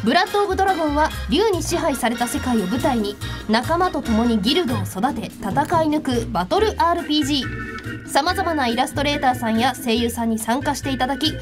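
A woman hosting a radio show in a studio, moving between lively banter and scripted reading. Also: high voice, a little fast. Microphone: studio microphone. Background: music.

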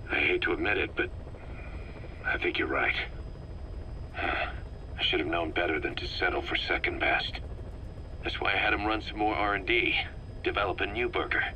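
A man's voice: low-pitched, bright, forceful tone, exaggerated voice, poor audio. An actor playing a wry, businesslike military man with an exasperated edge and casual phrasing, in a video game. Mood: defensive. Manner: casual, wry, hesitant asides. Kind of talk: scripted video game drama. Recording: faint background noise.

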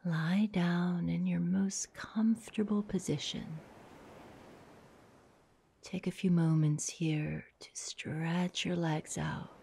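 A woman guides a meditation in a soft, breathy half-whisper, soothing and unhurried, leaving long pauses between short phrases. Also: fairly low pitch, steady tone, a little hiss or hum.

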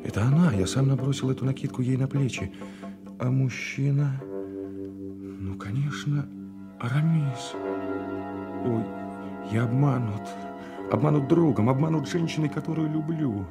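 A man's voice: medium pitch, a little slow, vocal fry, pauses often. An actor playing an impetuous, jealous young French swordsman in love, declaiming emotionally in a radio drama. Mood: jealous, agitated. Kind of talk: theatrical radio drama acting. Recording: radio play with music behind.

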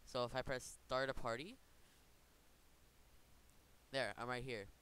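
A man hosting an informal software tutorial, talking in a casual, rambling way and correcting himself as he goes. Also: mid-range voice, fairly even intonation, a little slow. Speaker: tutorial host. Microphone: computer microphone. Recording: noticeable background hiss.

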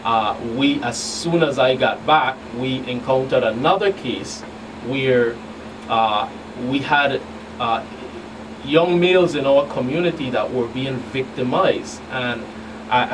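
Halting middle-aged man, distant noisy recording. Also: medium pitch. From U.S. Virgin Islands. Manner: halting, deliberate, hesitant phrasing. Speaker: law enforcement agent, radio guest. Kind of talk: talk show interview. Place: small radio studio. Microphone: studio boom microphones in view. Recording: distant mic, clearly noisy room hum.

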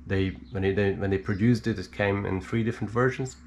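A man giving an unscripted hands-on technical explanation in a hesitant, halting way, thinking aloud with many pauses. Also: on the low side, steady tone, slight background noise. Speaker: hobbyist presenter showing his equipment. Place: indoor room at a cluttered worktable.

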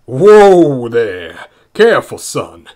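Man as a slow-talking jovial wardrobe. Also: mid-range voice, sing-song, a little mellow.

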